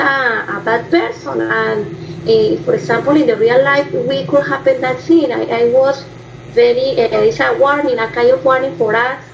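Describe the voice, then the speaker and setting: Brief: woman student, fairly high voice, halting learner's English, lo-fi classroom recording with faint noise. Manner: hesitant, many fillers and restarts, learner's English. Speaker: language student. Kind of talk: spoken class presentation.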